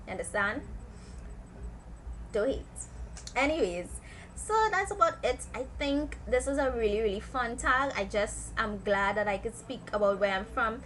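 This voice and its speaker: A woman's voice: medium pitch, a little hiss or hum, poor audio. Chatty Trinidadian woman vlogger. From South Trinidad. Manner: chatty, animated, local slang. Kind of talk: casual chat to camera.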